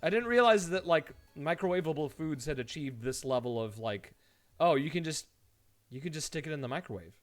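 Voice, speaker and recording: A man streaming live, chatting casually to viewers and telling stories in an animated way, acting out his reactions. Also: on the high side, fairly quick. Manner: animated storytelling, mimics his own reactions; laughs easily while talking. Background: background music.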